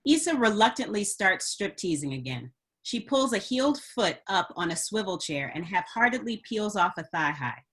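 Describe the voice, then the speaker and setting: A woman's voice: mid-range voice, big pitch swings, moderate pace, a bit bright, breathy edge. A woman reading stage directions as scripted narration for a screenplay table read.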